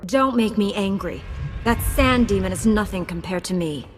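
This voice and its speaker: A woman acts a hard young warrior knight in a video game. She is firm, curt and commanding at a middling pitch, with a long reverb around the voice and faint music. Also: moderate pace, natural voice. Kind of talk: dramatic video game acting.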